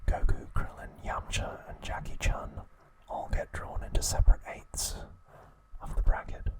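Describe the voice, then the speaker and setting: A man whispering softly and deliberately in short phrases, narrating a recap in ASMR style with a breathy, unvoiced voice. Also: low-quality recording. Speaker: host of an ASMR channel.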